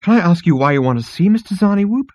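A man voicing a petulant, easily flustered insect receptionist, squeaky and snippy, in a comic storybook. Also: lively intonation, quick pace, a little mellow, no echo. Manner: prissy, snippy, fussily polite.

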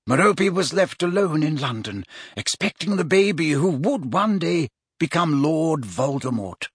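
A grave yet kindly elderly male wizard, performed by a man reading a storybook. He speaks in measured, formal sentences with a lifted, highly varied pitch and a slightly breathy roughness. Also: very animated, no echo, clean studio sound, full character voice. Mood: measured, recounting. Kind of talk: audiobook character voice.

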